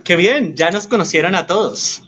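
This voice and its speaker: Measured man interpreting. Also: high-pitched, animated, a bit bright. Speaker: sign language interpreter voicing the guests. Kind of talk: live voice interpreting. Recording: slightly muffled audio.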